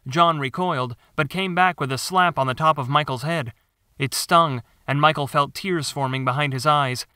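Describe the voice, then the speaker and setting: A man reading his own story as scripted dramatic narration, measured and theatrical. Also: a little high, bright, forceful tone, clear, resonant tone, dry recording, clean studio sound. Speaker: author narrating own audiobook.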